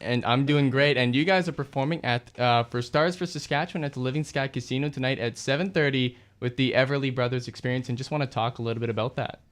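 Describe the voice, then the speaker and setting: A man's voice: medium pitch, fairly even intonation, fast talker, hardly any pauses, a bit bright. A man hosting a casual radio interview and asking the questions. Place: small radio studio, indoors.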